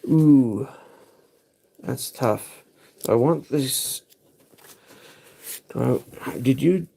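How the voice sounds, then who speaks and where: Slow, thinking-aloud male streamer. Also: mid-range voice, gravelly, dark tone, slightly rough. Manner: thinks aloud while choosing cards. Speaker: livestream host. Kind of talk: casual livestream commentary.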